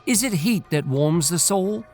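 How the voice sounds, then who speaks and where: A man's voice: high voice, expressive, unhurried, darkish tone, soft background music, voice pushed up. A man voicing an earnest, questioning young philosopher who seeks truth in a storybook tale.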